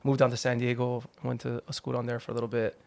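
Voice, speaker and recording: Gravelly-voiced man from Hawaii. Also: mid-range voice, fast talker. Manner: relaxed and chatty, with frequent "like" and "you know". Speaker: guest, artist manager. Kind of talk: casual podcast interview.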